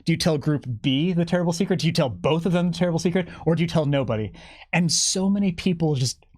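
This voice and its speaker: A man with a slightly high voice talks casually as an interview guest. He is animated and playful, often restarting a thought or acting out imagined lines. The sound is dry, with little room echo. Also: fast. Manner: animated, jokey, acts out imagined dialogue. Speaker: guest game developer. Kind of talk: lively casual interview chat.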